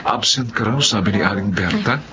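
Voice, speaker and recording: A man's voice: lowish voice, brisk, over a noisy crowd, close to an everyday voice. An actor playing a kind, patient male friend, soothing and reassuring, in a radio drama. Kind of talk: Tagalog radio drama acting. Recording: muffled lo-fi audio with loud noise.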